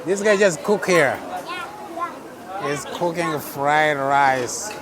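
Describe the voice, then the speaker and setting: A man's voice: on the high side, slow pace. A man from Texas chatting his way through an outdoor night food court as a travel vlogger, often exclaiming in wonder. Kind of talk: casual walking vlog narration. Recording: noisy crowded street market recording. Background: crowd chatter and traffic.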